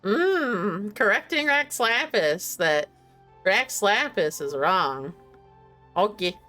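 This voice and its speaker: A woman's voice: mid-range voice, very animated, takes it slowly, pushed and bright. A woman streaming a game with casual commentary, swearing and muttering to herself as she plays. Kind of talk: casual gameplay commentary, reading dialogue aloud. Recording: music behind the voice.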